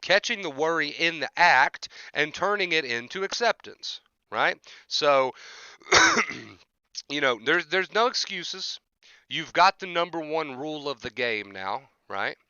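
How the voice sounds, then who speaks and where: Young man, motivational streamer, sharp forceful tone, smooth but slightly tired voice, emphatic, repetitive, informal, close dry sound. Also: on the high side, animated, slow, no room sound, highs cut off. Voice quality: voice tiring from overuse. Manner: emphatic, repetitive, often checks with "right?". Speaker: livestream host, anxiety recovery coach. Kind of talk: motivational rant to live chat.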